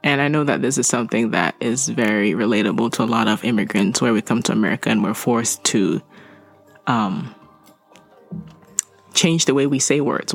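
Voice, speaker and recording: Gravelly-voiced man, non-native English speaker. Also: medium pitch. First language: not English. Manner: chatty, self-aware, joking asides. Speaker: podcast host. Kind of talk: reading listener letters with casual asides.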